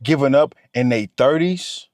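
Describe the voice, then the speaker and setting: A man's voice: mid-range voice, a little slow, darkish tone, dry recording. A man, giving a motivational talk to camera. He talks straight to the viewer and repeats his points. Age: 30s. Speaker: vlogger.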